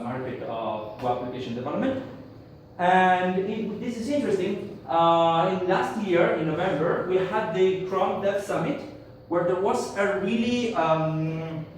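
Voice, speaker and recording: A man from Argentina gives a tech talk to a conference audience in English, his second language, halting and full of fillers. His voice sits slightly high for a man. It is picked up from afar in a strongly reverberant hall with faint background noise. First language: Spanish. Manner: halting, many fillers and restarts. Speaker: conference speaker. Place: conference room. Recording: echoey hall, speaker far from mic.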